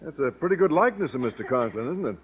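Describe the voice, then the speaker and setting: A man's voice: mid-range voice, expressive, racing, husky. A man playing a mild, naive, good-natured schoolteacher in a 1950s radio sitcom. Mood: friendly. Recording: dull, noisy 1950s radio recording.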